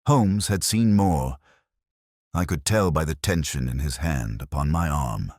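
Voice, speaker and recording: A man reading scripted first-person mystery narration in a measured, literary storytelling manner. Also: very deep voice, darkish tone, no room sound, clean studio sound. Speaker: audiobook narrator.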